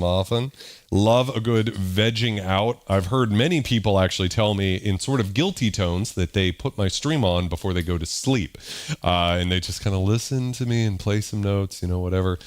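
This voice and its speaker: A man hosting a casual livestream, thinking aloud in a relaxed, slightly growly voice as he tries out sounds. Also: on the low side, a little fast. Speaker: livestream host. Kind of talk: casual livestream chat while demoing a synth. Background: loud synthesizer playing.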